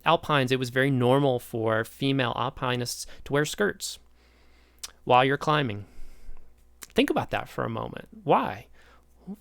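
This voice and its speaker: A man's voice: mid-range voice, gravelly, a bit bright, no room sound. A man in his thirties from East Tennessee with a Midwestern American accent, giving a chatty, enthusiastic sociology lecture full of questions to the listener. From East Tennessee, Appalachia. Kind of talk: recorded online lecture.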